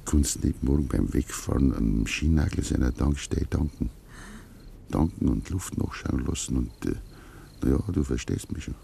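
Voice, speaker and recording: Very gravelly old Bavarian farmer. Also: male voice, a bass voice, expressive, normal speed, a little hiss or hum, heavily acted. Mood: sly, low. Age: older. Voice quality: very gravelly, breathy. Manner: hushed, conspiratorial. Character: brooding old Bavarian farmer, a father hunting his son's killer. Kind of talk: 1950s dialect radio play acting.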